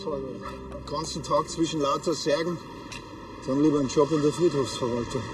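A man's voice: on the high side, steady tone, moderate pace, reverberant, in a large hall, music in the background, close to an everyday voice. Grumpy Bavarian policeman, played by a man. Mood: grumbling. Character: grumpy, dry-witted male small-town police officer.

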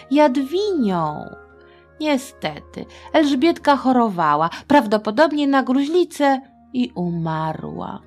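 Female storyteller, middle-aged, informal and playful, unhurried, mid pitch, children's history podcast with faint music. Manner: playful, colloquial, expressive.

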